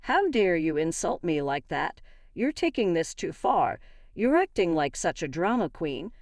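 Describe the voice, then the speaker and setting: A woman's voice: lowish voice, very animated, measured pace, darkish tone, no room sound, studio quality. A woman voicing a smug, condescending older mother-in-law in a narrated story, with a haughty, taunting manner. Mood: indignant. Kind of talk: acted dialogue in a narrated story.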